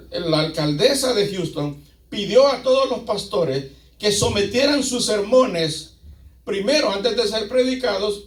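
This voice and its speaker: A male pastor with a Latin American Spanish accent preaching emphatically to a congregation, repeating phrases and posing rhetorical questions. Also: high-pitched. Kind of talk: sermon to a congregation. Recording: echoey room, picked up from a distance.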